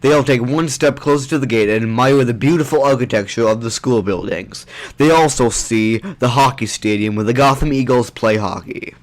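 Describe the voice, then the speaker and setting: A man's voice: mid-range voice, normal speed. A man reading scripted narration for an audio drama.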